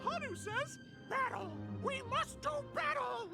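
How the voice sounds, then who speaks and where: A woman's voice: extremely high pitch, lively intonation, unhurried, creaky, heavily acted. A woman voicing a cheerful, hyperactive talking clock mascot in a video game, with a bombastic, theatrical announcer-like delivery. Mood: rousing, dramatic. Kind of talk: animated video game character acting. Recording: game audio under loud music.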